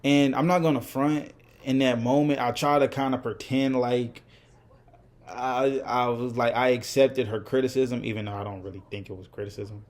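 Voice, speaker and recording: A man's voice: mid-range voice, fairly even intonation, moderate pace, a little mellow. A man in his twenties talking casually to camera, candid and reflective, rambling with many fillers and restarts. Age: 20s. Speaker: vlogger. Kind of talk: casual unscripted talk to camera.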